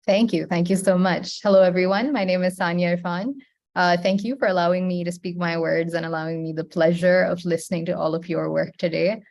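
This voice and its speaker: Young woman, native Urdu speaker, lowish pitch, gravelly fry, polite, measured poetry reading. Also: steady tone, a little mellow. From Pakistan. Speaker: poet, open mic newcomer. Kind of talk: poetry reading with a spoken introduction. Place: indoors on an online video call.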